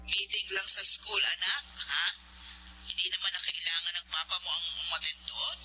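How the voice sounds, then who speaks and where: A woman's voice: lowish voice, steady tone, moderate pace, pushed and bright. A woman playing a busy, defensive middle-aged mother in a Tagalog radio drama, scolding and pleading with a Filipino accent. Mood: exasperated, pleading. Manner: scolding, pleading, mixes in English. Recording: noisy, humming telephone-style radio drama.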